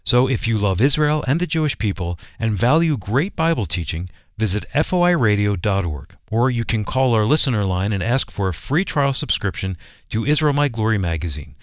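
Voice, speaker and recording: A man's voice: fairly low pitch, animated, brisk, dry recording, studio quality. An American man reading a scripted promotional announcement as a radio announcer.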